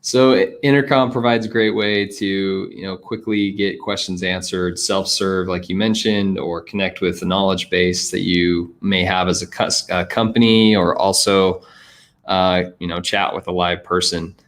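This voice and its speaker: A man in his thirties interviews a guest in a casual, chatty style. His voice sits a little low, and he speaks over a video call from indoors. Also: steady tone, unhurried, fairly bright tone. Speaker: interviewer, host. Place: plain indoor room.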